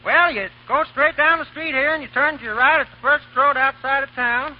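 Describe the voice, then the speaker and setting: An actor playing a folksy small-town gas station attendant with a drawl, in a 1930s radio drama. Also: male voice, very high voice, a little fast. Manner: drawling rural country talk, chuckling. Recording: dull old radio broadcast recording.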